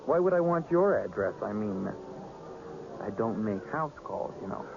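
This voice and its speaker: An actor in a radio drama playing a wary young fortune-teller with a gruff, streetwise, suspicious manner. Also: male voice, fairly low pitch, sing-song, moderate pace. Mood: impatient, businesslike. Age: young adult. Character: wary, brusque young fortune-telling apprentice. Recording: dull, noisy old radio broadcast.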